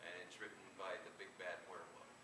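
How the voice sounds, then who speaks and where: A man with a fairly low voice gives an informal talk as an author at a live reading. His delivery is halting and hesitant. He is heard from a distance in an echoey room. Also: monotone. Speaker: author presenting own book. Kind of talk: informal live book presentation. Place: convention room, live event. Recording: echoey, noisy room from far off.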